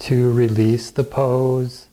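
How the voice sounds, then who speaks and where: A man gently and calmly guiding a yoga and relaxation class in measured phrases with pauses. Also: mid-range voice, poor audio. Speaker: yoga teacher. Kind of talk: guided yoga and relaxation instruction.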